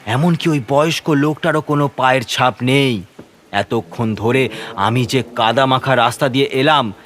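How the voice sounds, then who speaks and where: A man's voice: medium pitch, normal speed, fairly bright tone. Suspenseful male horror story narrator. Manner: suspenseful, theatrical. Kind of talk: dramatic first-person scripted narration.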